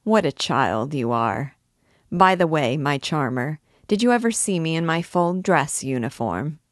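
A woman's voice: deep voice, animated, measured pace, no room sound. A glib young cavalry captain, played by a woman narrator, wooing in a storybook. He speaks gallantly and effusively, full of boasts, in a dry, close sound. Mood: smug, teasing. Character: vain, amorous young male cavalry captain, glib flatterer. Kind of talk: audiobook character dialogue.

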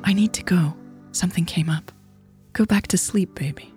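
A woman voicing a tender, secretive woman in an audiobook, speaking softly and breathily in a gentle, apologetic, pleading manner. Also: mid-range voice, normal speed, soft background music, pitched above the performer's own voice, close to an everyday voice. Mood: hushed apology. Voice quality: soft, breathy, half-whispered. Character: Elle, tender secretive woman leaving her lover at night. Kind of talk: audiobook character dialogue.

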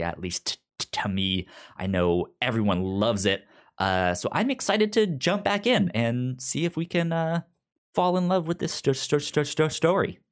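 A man hosting a podcast in a casual, chatty style, with a stutter that repeats sounds and syllables. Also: lowish voice. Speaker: podcast host.

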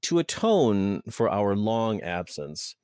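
Brief: deep-voiced male podcast host, playful and rambling, dry close-mic sound. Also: big pitch swings, slow pace, a little mellow, clean tone, no echo. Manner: joking, rambling, self-interrupting. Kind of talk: casual podcast chat.

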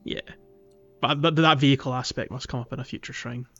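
A man's voice: mid-range voice, fairly even intonation, fairly quick, pushed and bright, breathy edge, lo-fi sound, soft background music. A man co-hosting a gaming podcast in casual, conversational speech. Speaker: podcast co-host.

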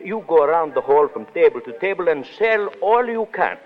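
Actor as lively, jovial cabaret boss, old radio. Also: male voice, a little high, a little fast, lightly acted. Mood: bossy, greedy. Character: jovial, money-grubbing Algiers cabaret owner. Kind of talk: 1930s radio drama acting. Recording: dull 1930s radio broadcast with music.

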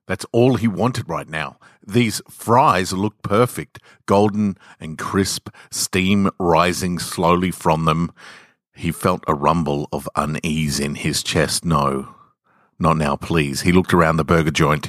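Male story narrator: low register, unhurried measured delivery, dramatic scripted prose, very dry sound. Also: a bit bright, no room sound. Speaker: podcast host reading short stories.

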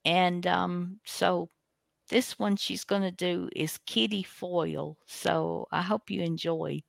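A woman's voice: on the low side, steady tone, slow pace, vocal fry, no echo, studio quality. A woman hosting a radio show, chatting casually in a folksy, rambling way as she introduces the program.

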